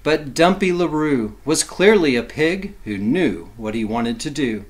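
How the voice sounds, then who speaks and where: Slow, animated man reading a storybook. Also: medium pitch. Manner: expressive, simple explanatory asides for children. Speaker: teacher reading aloud to kindergarteners. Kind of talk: animated children's storybook read-aloud.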